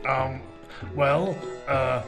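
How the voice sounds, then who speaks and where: A woman playing a meek, eager-to-please advisor to a villain, halting and agreeable in a comedic radio play. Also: rumbling low, fairly even intonation, music in the background, exaggerated voice. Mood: sheepish.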